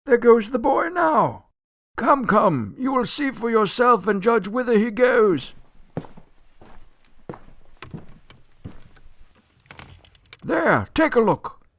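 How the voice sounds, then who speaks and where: A litigious old gentleman in a radio play. The voice is high and gravelly, swooping widely as he declaims. He is pompous, crotchety and forever indignant. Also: very animated, measured pace, no echo, studio quality, full character voice. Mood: eager, conspiratorial. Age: older. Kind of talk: radio drama acting.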